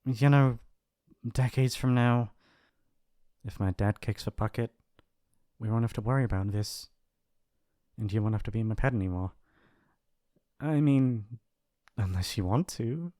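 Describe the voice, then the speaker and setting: A man's voice: lowish voice, measured pace, pauses often, dark tone, dry recording, close to an everyday voice. Man acting a shy, doting young mafia heir, dry close-up. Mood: earnest, tentative. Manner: hesitant, earnest, stammering, affectionate. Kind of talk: intimate ASMR roleplay monologue. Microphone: close studio mic, dry.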